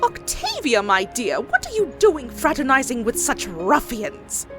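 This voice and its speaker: A woman's voice: high-pitched, wildly swooping pitch, measured pace, full character voice. A woman playing a snobbish, theatrical aristocratic mare in an audio drama, talking with affected condescension. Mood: haughty disdain. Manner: affected, condescending, posh wording. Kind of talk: audio drama character acting. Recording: music behind the voice.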